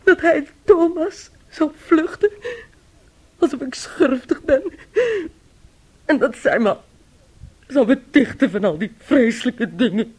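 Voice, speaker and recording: A naive young niece in a radio drama. Her voice is a little high and husky. She sounds plaintive and earnest, easily wounded, with an indignant streak. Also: takes it slowly, pauses often, darkish tone, heavily acted. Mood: hurt. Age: young adult. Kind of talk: Dutch radio play acting.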